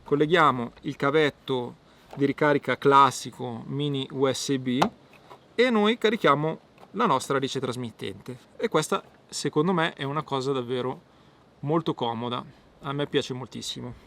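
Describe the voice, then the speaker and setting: A man giving an informal product review, explaining step by step with hesitant pauses. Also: medium pitch, gravelly, a bit bright.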